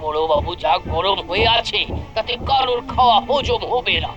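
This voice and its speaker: A man's voice: high-pitched, lively intonation, normal speed, lo-fi sound. A man voicing a sly, lazy cartoon police constable, wheedling and obsequious in Hindi-influenced Bengali. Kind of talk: exaggerated cartoon voice acting. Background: music.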